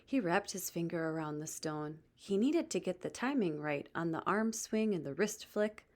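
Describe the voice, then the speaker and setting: A woman reading a children's book aloud as expressive scripted narration. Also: on the low side, normal speed. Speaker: storybook reader.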